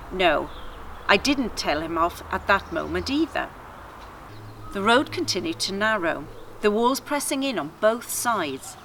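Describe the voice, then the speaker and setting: A woman's voice: medium pitch, pushed and bright, slight background noise. A woman voicing a wry, aggrieved female donkey who chattily tells her tale in a children's story. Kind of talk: children's story read aloud.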